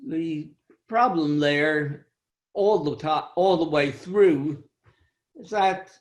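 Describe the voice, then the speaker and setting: Older guy, kinda high voice, slow wry storytelling on a video call. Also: rough recording. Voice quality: high-pitched for a man. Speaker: club speaker. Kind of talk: personal storytelling speech.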